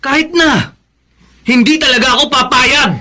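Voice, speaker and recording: A high, sharp, hot-headed big brother. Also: male voice, moderate pace, heavily acted. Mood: indignant. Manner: blustering, agitated. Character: hot-headed, protective elder brother, distrustful and stubborn. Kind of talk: Filipino radio drama acting.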